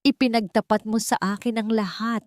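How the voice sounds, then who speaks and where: A woman's voice: on the high side, fairly even intonation, moderate pace, no room sound, clean studio sound, pitched above the performer's own voice, natural voice. A woman voicing an older, loyal housemaid who speaks with desperate, earnest emotion in an audio story. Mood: defiant. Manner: emotional, pleading.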